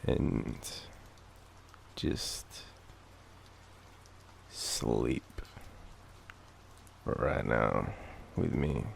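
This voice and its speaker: Deep gravelly Australian man with a cold. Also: very slow, long pauses, a little hiss or hum. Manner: soft, drowsy, teasing murmur. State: sick with a cold, coughing. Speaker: boyfriend roleplay performer. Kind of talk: intimate audio roleplay.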